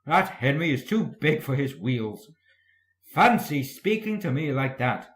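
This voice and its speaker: A man's voice: medium pitch, big pitch swings, unhurried, a bit bright, reverberant. A man voicing a pompous, huffy big male steam engine for a storybook, spluttering with indignation. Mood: indignant, huffy.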